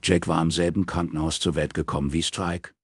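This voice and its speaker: A man's voice: very deep voice, steady tone, a little fast, breathy edge, dry recording, studio quality. A man reading scripted crime fiction as an audiobook narrator.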